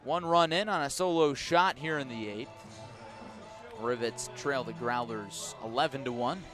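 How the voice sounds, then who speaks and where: American male, mid-range voice, radio baseball commentary, conversational storytelling, clipped pitch calls, wry asides. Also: a little slow, fairly bright tone, soft background music. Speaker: baseball play-by-play broadcaster. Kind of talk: live radio sports commentary mixed with storytelling.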